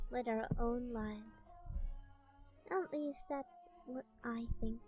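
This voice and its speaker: Slow, high-voiced woman reading softly. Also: rough recording. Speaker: streamer reading a visual novel aloud. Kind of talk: soft-spoken ASMR story reading.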